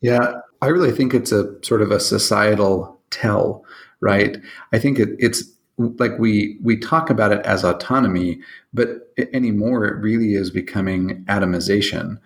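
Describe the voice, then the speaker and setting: A man with a lowish voice muses aloud while hosting a podcast. His phrasing is reflective and a little hesitant. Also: moderate pace. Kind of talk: podcast interview.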